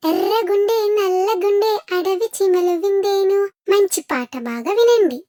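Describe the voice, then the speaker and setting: Very high, childlike children's song presenter. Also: measured pace, music in the background. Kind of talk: scripted introductions to nursery songs.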